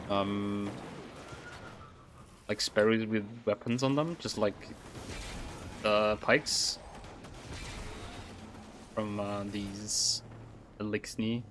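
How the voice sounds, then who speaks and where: A man giving casual, rambling live gameplay commentary as a game streamer, easily impressed by what happens. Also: fairly low pitch.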